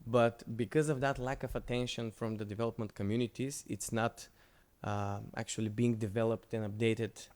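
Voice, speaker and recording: Male presenter, English, relaxed, conversational, stumbles and restarts mid-sentence. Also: medium pitch, normal speed, hardly any pauses. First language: Bulgarian. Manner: loose, conversational, with frequent restarts. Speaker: conference speaker. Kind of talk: conference presentation with Q&A.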